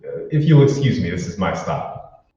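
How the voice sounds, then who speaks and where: An actor playing a polite, aloof bus passenger in an old-time radio drama. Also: male voice, sits low, big pitch swings, normal speed, mellow. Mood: puzzled, aloof. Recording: echoey hall, distant mic, muffled.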